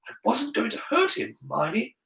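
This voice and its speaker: Guy doing a defiant young lad in an audiobook, high voice, swoopy and emphatic, won't back down. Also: wildly swooping pitch, normal speed, creaky, sharp tone, full character voice. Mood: insistent. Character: defiant, intense schoolboy, proud and coolly stubborn. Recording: roomy echo.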